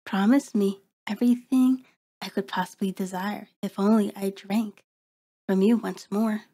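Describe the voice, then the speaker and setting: A woman playing a seductive, predatory vampire in an ASMR roleplay, soft and breathy with a coaxing, commanding manner, often slipping into a whisper. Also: medium pitch, fairly even intonation. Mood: satisfied, possessive. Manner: slow, deliberate, coaxing, controlling.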